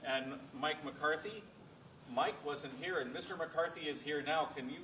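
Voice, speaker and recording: Friendly high-voiced man addressing a noisy crowd. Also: moderate pace. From New York. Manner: friendly, coaxing, asks the kids questions. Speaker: opera singer speaking to a school audience. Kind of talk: casual post-show chat with children. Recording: noisy audience of children around.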